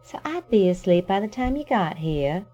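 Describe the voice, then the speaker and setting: A woman acting in an audio drama as a hospital patient who brushes off concern in an unhurried manner. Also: medium pitch, sing-song, mellow, muffled recording, soft background music, lightly acted. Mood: reassuring, dismissive. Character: reassuring, dismissive woman in a hospital bed.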